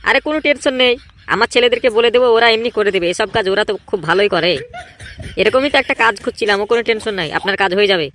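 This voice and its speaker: A man's voice: high-pitched, racing, fairly bright tone, dry recording. A man voicing an irritable, scheming village gang boss in a comedy cartoon, scolding and repeating himself emphatically. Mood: eager, reassuring. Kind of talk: exaggerated comedy cartoon dialogue.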